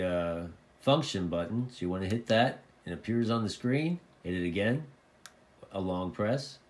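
A man informally explaining and demonstrating a product, repeating phrases for emphasis. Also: fairly low pitch. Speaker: presenter of a radio tutorial. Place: indoors at a desk.

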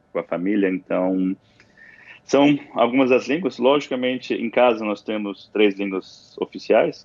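A man's voice: fairly low pitch, dry recording, muffled recording. A man with a Brazilian Portuguese accent, an interview guest talking casually, rambling with restarts and fillers. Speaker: interview guest, university alumnus.

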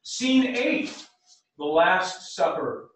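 Male narrator for stage directions, high voice, scripted reading, distant microphone in an echoing theatre. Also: very animated, drawn-out words, a bit bright. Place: small theatre. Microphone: far camera. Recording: very echoey theatre stage from far off.

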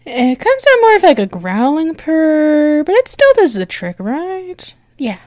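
A woman playing a flustered, bratty but affectionate cat-girl girlfriend in an ASMR roleplay, halting and teasing as she stammers. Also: sits high, huge pitch swings, a little mellow, no echo, studio quality, heavily acted. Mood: coy, teasing. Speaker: audio roleplay performer.

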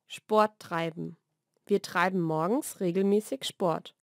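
A woman narrates a scripted vocabulary lesson. She enunciates deliberately and in a measured way, moving between single words and short example sentences. The sound is dry and close, as in a studio voice-over. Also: on the low side, steady tone, vocal fry, no room sound.

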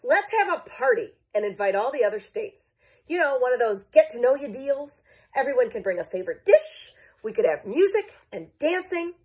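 A woman's voice: a little high, sing-song, unhurried. A woman voicing Kansas, a glum, bored male state who moans and complains in a storybook. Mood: eager. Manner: moaning, complaining.

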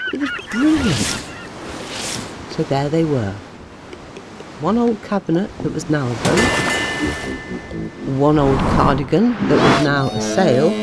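A woman narrating a children's bedtime story in a scripted storytelling style. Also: deep voice. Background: music.

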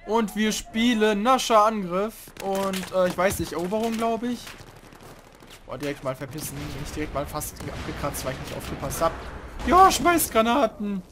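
A young man hosting a casual let's play, chatting and grumbling loudly about teammates as he plays. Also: sits high, lively intonation, normal speed, background noise. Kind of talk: casual gaming commentary.

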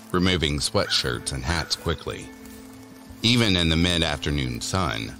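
A man's voice: very deep voice, a bit bright, full character voice. A man narrating a scripted spooky story about teens, as a storyteller in an audio drama. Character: male storyteller of a spooky teen tale. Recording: music under the voice.

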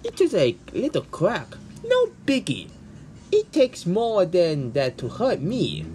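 A man voicing a friendly, soft-hearted robot with an earnest, pleading way of talking in an amateur comic dub. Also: high-pitched, wildly swooping pitch, slow, a little hiss or hum, heavily acted. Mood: reassuring, friendly.

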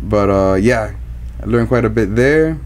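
Low-voiced young man, hesitant guest. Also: lively intonation, unhurried. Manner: hesitant, with many "um"s and "like"s. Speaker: guest tattoo artist. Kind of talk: casual interview chat. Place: indoor studio with leather couch. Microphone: studio mic on boom arm.

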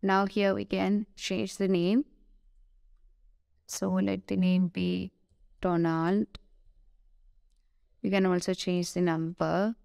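A woman with an Indian English accent giving a screen-recorded software tutorial, hesitant, with many "uh" fillers and self-corrections. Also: on the low side, little pitch movement, slow pace, gravelly, pauses often, clear, resonant tone, no room sound.

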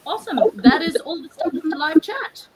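A woman's voice: sits high, lively intonation, brisk, a crowd in the background. A woman acting as moderator, relaying audience questions on a video call.

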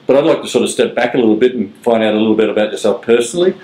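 A man's voice: lowish voice, rapid-fire, mellow. An older man, interviewing in rambling, hesitant questions. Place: small room with gray wall, seated at table. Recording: roomy echo.

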